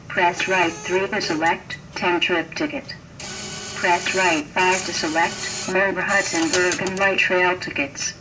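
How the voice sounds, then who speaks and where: A robotic woman's voice reads scripted ticket machine instructions in a formal, measured way. It sits slightly low for a female voice, and it comes through a machine speaker some way from the mic, with light station noise around it. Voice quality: synthetic, robotic. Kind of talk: scripted automated audio instructions. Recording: noisy distant machine speaker.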